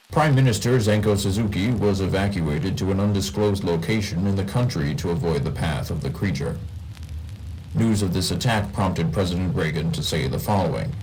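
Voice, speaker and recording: A man voicing a formal evening news anchor with a deep, low voice, reading the bulletin in a steady, measured way. A faint hiss sits under the broadcast. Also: lightly acted, low-quality recording. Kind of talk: scripted 1980s TV news broadcast.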